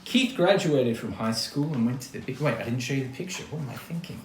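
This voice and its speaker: An Australian-accented man reading a picture book to children as an actor, expressive and chatty, speaking straight to his young listeners. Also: mid-range voice, fairly quick. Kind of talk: children's storytime read-aloud with casual asides. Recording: roomy echo, clear background noise.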